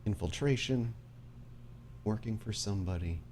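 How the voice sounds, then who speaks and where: A man playing a menacing, taunting schemer in a tabletop game, measured and needling. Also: lowish voice, a little mellow, lightly acted.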